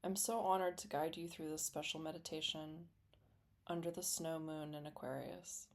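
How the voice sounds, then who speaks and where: Female meditation guide, lower-pitched voice, gentle and unhurried scripted delivery, soothing, with long pauses. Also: fairly even intonation.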